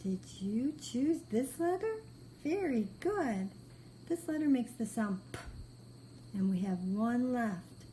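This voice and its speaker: A woman's voice: on the high side, big pitch swings, takes it slowly, mellow, a little hiss or hum. A woman teaching a step-by-step phonics lesson to beginning readers, giving patient, simple instructions with repeated praise. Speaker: reading teacher.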